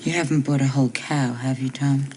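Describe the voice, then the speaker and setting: An actress playing a wife who speaks softly and hesitantly in bed. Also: female voice, rumbling low, fairly even intonation, fairly quick, a little hiss or hum. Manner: hesitant, soft-spoken.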